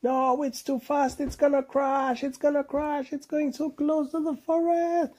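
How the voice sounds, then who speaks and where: A man voicing an excitable, curious young monkey in a children's bedtime story, exclaiming and repeating himself. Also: extremely high pitch, a little slow, pitched above the performer's own voice. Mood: panicked. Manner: breathless exclamations, repeating phrases in alarm.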